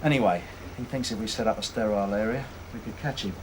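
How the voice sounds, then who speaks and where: A man playing a world-weary, hard-nosed detective inspector, gruff and sardonic, in a TV police drama. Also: lowish voice, fast talker, natural voice. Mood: measured. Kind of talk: naturalistic TV police drama acting. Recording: slightly distant, noisy TV drama sound.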